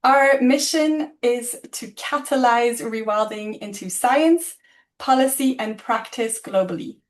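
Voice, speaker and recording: A woman hosting an online webinar on a video call, introducing the speakers in a friendly, slightly halting way and laughing at her own slips. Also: fairly high pitch. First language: not German. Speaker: webinar host.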